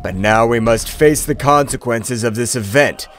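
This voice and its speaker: Calm male high priest, acted by a male narrator, grave, formal, measured, anime storytelling, music behind. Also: close to an everyday voice. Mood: calm, solemn.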